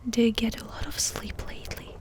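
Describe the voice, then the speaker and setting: A woman doing ASMR in a breathy whisper, softly and intimately asking questions with pauses between them. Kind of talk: whispered ASMR personal-attention roleplay.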